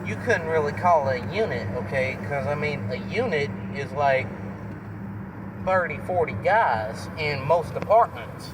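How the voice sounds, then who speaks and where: Guy doing casual vlog talk, slightly high voice, folksy, noisy outdoor recording. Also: lively intonation, a little slow, recorded from a distance. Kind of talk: casual chat.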